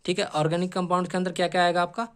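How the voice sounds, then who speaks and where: A man's voice: high-pitched, level tone, racing, clean tone. A man giving an instructional exam syllabus lecture as a coaching teacher, often adding short check-in tags and mixing in English terms.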